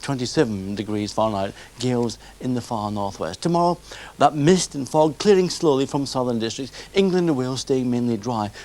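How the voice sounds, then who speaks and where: A man's voice: medium pitch, darkish tone. A man presenting a television weather forecast in a conversational way.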